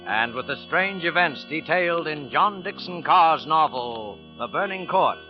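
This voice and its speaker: A man's voice: a little high, animated, a little slow. A man reading a scripted 1940s radio announcement for the show's sponsor. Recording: dull vintage radio recording with music.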